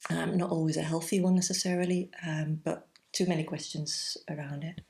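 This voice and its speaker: A woman in her forties with a Belgian accent talks as a podcast guest in a low voice. She speaks thoughtfully and pauses often to gather her words. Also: steady tone, creaky, a little mellow. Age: 40s. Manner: reflective, thoughtful, pausing often with "um". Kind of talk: casual interview conversation.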